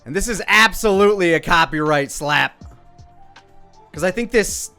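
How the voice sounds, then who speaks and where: A man's voice: high-pitched, expressive, moderate pace, sharp tone. Rambling man with music behind him. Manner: rambling, self-deprecating. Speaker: creator commenting on own old videos. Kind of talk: casual video commentary.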